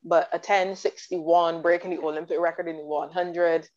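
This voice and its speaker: A woman from Barbados chatting about sports in detail, enthusiastic and quick to laugh. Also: fairly low pitch, steady tone, a little mellow. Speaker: guest commentator. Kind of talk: casual sports chat. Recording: dull lo-fi audio.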